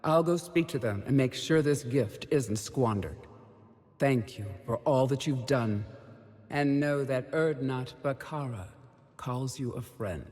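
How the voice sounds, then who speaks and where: Low voice as a reverberant, solemn alien matriarch. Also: male voice, darkish tone, lightly acted. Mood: solemn, grateful. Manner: measured, dignified. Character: solemn, wise female alien clan leader. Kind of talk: video game cutscene acting.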